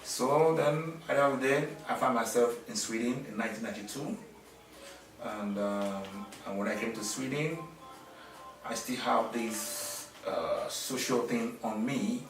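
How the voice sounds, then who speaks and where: A middle-aged man from Nigeria, answering interview questions in a halting way with many fillers and restarts. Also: mid-range voice, faint music behind. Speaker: interviewee, businessman. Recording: echoey room, mic at a distance.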